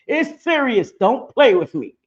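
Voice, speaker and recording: A middle-aged woman with a low voice, giving blunt, profane casual commentary as a livestream host, often laughing. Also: very animated, measured pace, highs cut off.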